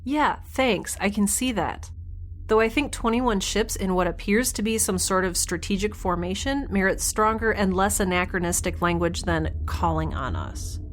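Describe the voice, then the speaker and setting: A woman's voice: medium pitch, animated, normal speed, a little hiss or hum, close to an everyday voice. A woman playing a wry, anxious, wistful young woman alone in space, talking casually in an audio drama diary. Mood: sarcastic, baffled. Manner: casual, conversational, sardonic. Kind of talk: audio drama, first-person recorded diary.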